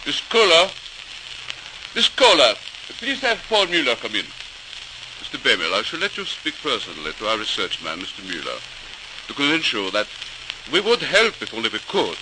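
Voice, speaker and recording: An actor playing a skeptical, fussy company boss who repeats himself, in 1940s radio drama style. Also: male voice, mid-range voice, very animated, normal speed, long pauses, natural voice. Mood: formal. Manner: hesitant, repetitive, dismissive. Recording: noisy crackling old radio recording.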